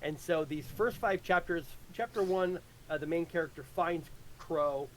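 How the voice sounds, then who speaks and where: Male writer, fairly high voice, loose casual recap with halting repeats, some noise behind. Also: fairly bright tone, poor audio. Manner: halting, repeats words while explaining. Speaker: writer of an audio drama. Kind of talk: casual rambling story recap on a livestream.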